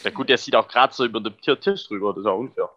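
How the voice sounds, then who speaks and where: A man's voice: on the low side, racing, fairly bright tone. A young man co-hosting a podcast over an internet call, bantering casually with friends in joking, colloquial slang. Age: young adult. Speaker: podcast co-host. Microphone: online call. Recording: dull lo-fi internet call audio.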